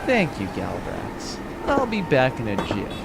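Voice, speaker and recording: A wry rogue officer, played by a man in a space opera audio drama. His mid-pitched voice is offhand and conversational, with clear noise behind it. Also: wildly swooping pitch, a little slow, exaggerated voice. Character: casual, wry male rogue officer proposing a deal.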